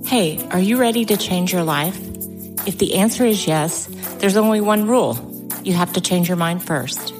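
A woman announces a podcast in scripted promotional narration. Her pitch is a little lower than average for a woman. Soft music runs behind the voice. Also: moderate pace.

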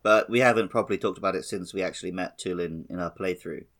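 Male co-host, slightly low voice, casual conversational explainer for a gaming podcast. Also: fast talker, fairly bright tone. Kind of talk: casual video game discussion.

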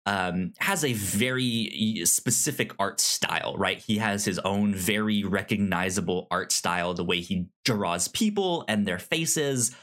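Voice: low-pitched, lively intonation, unhurried, few pauses, a bit bright. A podcast co-host casually discussing film, often stammering and repeating words and sounds.

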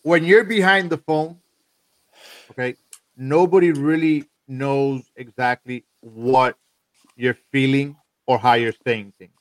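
A man's voice: mid-range voice, fairly even intonation, slow, no room sound, rough recording. A man hosting a casual talk show, stressing points and checking in with "okay?". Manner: emphatic, repeats "okay". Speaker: show host.

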